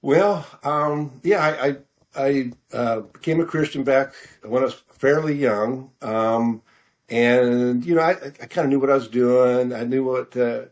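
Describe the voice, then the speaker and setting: A middle-aged American man answering questions in a casual interview, halting and self-deprecating as he searches for his words. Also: medium pitch. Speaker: author interview guest.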